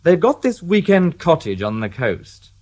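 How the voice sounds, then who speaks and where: A man's voice: on the high side, very animated, unhurried, a little mellow. A dry-witted detective inspector in a radio play. He speaks with understated wryness, his intonation rising and falling a good deal. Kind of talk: radio drama acting.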